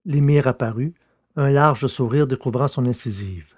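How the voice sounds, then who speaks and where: A man's voice: mid-range voice, normal speed, a little mellow, slightly rough, dry recording, clean studio sound. A man reading a scripted science-fiction story as its narrator.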